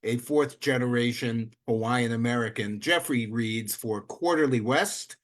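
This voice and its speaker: A man hosts a live reading, speaking slowly and with care. His voice sits in a middle pitch, and he reads out introductions in a formal, list-like way. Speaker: host. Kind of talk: introducing readers at a live event.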